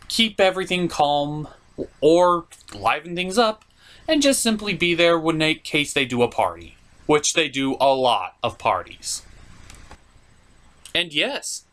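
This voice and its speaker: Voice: mid-range voice, very animated, a little slow, a bit bright. A host doing a scripted comedic video review in an opinionated, sarcastic, mock-exasperated way.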